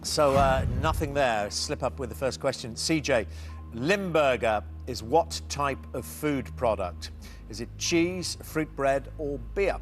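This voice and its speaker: A man hosting a television quiz show, with a brisk, lightly teasing manner as he reads questions and chats with contestants. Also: mid-range voice, faint music behind.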